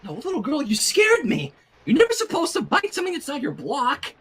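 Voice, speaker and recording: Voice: sits high, wildly swooping pitch, a little fast, fairly bright tone, pitched above the performer's own voice, heavily acted. A performer voicing a panicky, exasperated young pony mare who exclaims and repeats words in alarm, in an amateur cartoon fanfiction reading. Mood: scolding.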